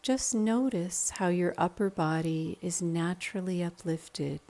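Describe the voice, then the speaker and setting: A woman with a slightly low voice guides a meditation at a very slow pace. Her delivery is calm and measured, giving gentle step-by-step instruction.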